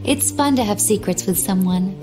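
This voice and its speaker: A woman voicing a serene, coy young female warrior in a video game, with a quietly wistful manner. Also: mid-range voice, over music, natural voice. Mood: coy, gentle. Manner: calm, coy, unhurried. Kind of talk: video game cutscene acting.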